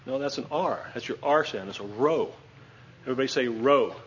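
A man's voice: mid-range voice, a little fast. Guy teaching a class at the whiteboard, jokey and chatty, keeps saying okay, kinda dull lo-fi recording w/ faint hiss. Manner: joking, chatty, checks in with "okay?".

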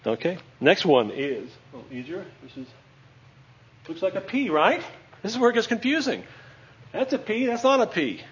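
A man's voice: high-pitched, sing-song, normal speed. A man teaches a class in a chatty, joking manner, checking in with his students often. The recording is slightly dull and lo-fi, with faint hiss under the voice. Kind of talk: classroom lesson at a whiteboard.

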